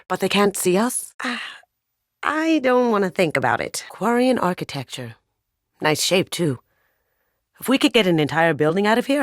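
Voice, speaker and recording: A young woman, a master thief fighting alongside a space squad in a video game. She is playful and wry, tossing out casual quips with a lively, mobile pitch. The recording is close and dry. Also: no echo.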